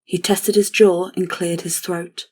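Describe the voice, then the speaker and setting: A woman reading scripted fantasy narration for an audio drama in a measured, solemn way. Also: lowish voice, level tone, a little mellow, slightly rough.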